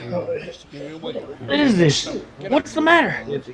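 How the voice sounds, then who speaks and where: Actor as a frightened, high-pitched young man. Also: big pitch swings, drawn-out words, crowd noise, exaggerated voice. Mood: alarmed, bewildered. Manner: agitated, protesting. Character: frightened, bewildered young man held by a posse. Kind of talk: old-time radio western acting.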